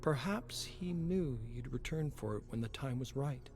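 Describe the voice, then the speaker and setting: A man voicing an eerie, pitying woman who speaks solemnly and cryptically in a dark storybook reading. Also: medium pitch, animated, moderate pace, over music, voice pushed up, natural voice. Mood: calm, cryptic.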